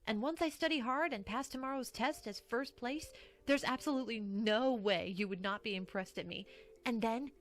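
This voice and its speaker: A woman plays an ambitious young pony mare with a slightly high voice. She sounds cocky and dismissive. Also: moderate pace, natural voice. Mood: stubborn.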